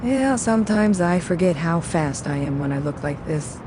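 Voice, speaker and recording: Woman as a cocky, low-voiced fox vigilante over rumble. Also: expressive, close to an everyday voice. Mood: confident. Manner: sarcastic, unhurried quipping. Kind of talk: animated series dialogue. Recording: clear low rumbling background noise.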